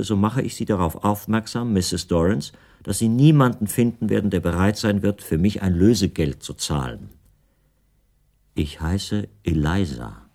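An actor playing a bespectacled middle-aged writer who recounts his own story in measured, formal and precise literary narration. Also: sits low, a little mellow, heavily acted. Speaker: first-person narrator of a radio play. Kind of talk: literary scripted narration in a radio play.

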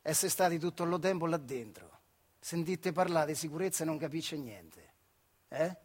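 Male actor as retired factory hand, older, Marche accent, stage monologue, husky, high-pitched, bitter, colloquial, rhetorical. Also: lively intonation, moderate pace. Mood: brooding, confiding. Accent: central Italian, Marche. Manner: colloquial, rhetorical questions, swings from muttering to outbursts. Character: bitter retired Italian factory worker, weary, sardonic, impassioned. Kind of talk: theatrical monologue in dialect-tinged speech.

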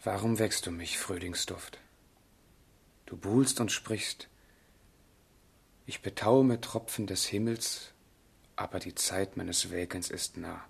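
Husky, slow, lovelorn young man in torment. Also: low-pitched, heavily acted. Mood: melancholy reciting. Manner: slow, fervent, brooding declamation. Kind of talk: literary radio drama acting, declaimed monologue.

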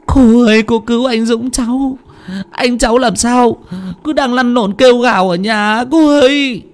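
A man voicing a frantic young village woman who begs for help, shrill and pleading. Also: measured pace.

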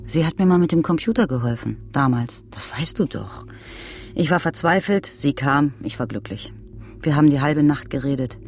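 Eine Schauspielerin spielt in einem Krimi-Hörspiel eine verbitterte, eifersüchtige Frau, die knapp, stichelnd und vorwurfsvoll spricht. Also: female voice, low-pitched, normal speed, darkish tone, soft background music. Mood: defensive. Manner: curt, needling, accusatory. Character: bitter, confrontational woman, jealous of her housemate. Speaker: actress in radio play. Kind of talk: German radio crime drama dialogue.

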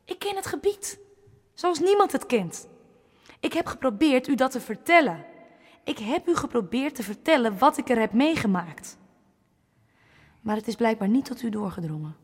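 A woman's voice: on the high side, big pitch swings, moderate pace, pauses often, slightly rough. A woman playing a proud, defiant campaigner in a Dutch radio drama, speaking with pointed, sardonic rhetoric in a reverberant hall. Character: proud, defiant woman fighting for her flooded region. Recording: long reverb of a large hall.

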